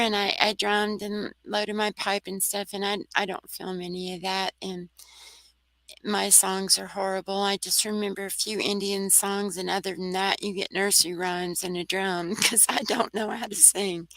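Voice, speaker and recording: A soft-voiced woman, a guest on a talk radio show, telling a personal story in an earnest, rambling way. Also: mid-range voice, fairly even intonation, normal speed, no room sound, rough recording. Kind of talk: casual storytelling.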